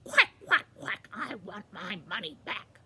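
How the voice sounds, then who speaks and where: A woman voicing a small, indignant male duck in a folktale, loud and quacking, punctuating speech with quacks. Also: mid-range voice, animated, a little slow, background noise, close to an everyday voice. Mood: loud, insistent. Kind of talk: children's folktale storytelling.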